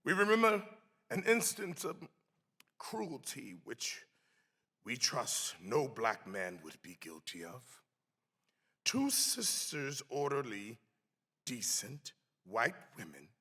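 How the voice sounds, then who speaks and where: A man giving a solemn, emphatic dramatic reading of a historical text in a deliberate, theatrical way. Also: mid-range voice, wildly swooping pitch, bright, forceful tone. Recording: echoey hall with long reverb.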